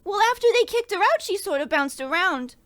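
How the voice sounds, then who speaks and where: A man's voice: extremely high pitch, lively intonation, brisk, fairly bright tone. A man voicing a demure young woman client, coy and primly gossipy, in a parody of 1940s radio detective drama. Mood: coy, confiding.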